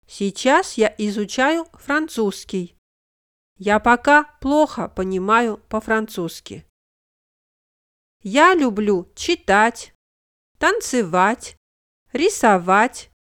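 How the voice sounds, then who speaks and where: A woman reading the part of a young female Russian student who introduces herself slowly and carefully. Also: a little high, smooth, no echo, clean studio sound. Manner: slow, careful textbook reading.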